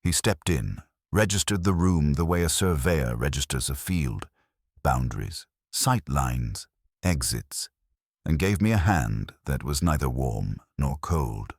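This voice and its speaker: Casting: male audiobook narrator, extremely low voice, wry first-person detective storytelling, dry close sound. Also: animated, unhurried, husky, no room sound.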